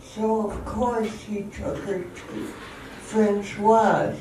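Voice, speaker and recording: A woman's voice: mid-range voice, steady tone, very slow, mellow. A woman delivering scripted narration for an amateur radio drama. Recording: echoey hall from far off, faint noise.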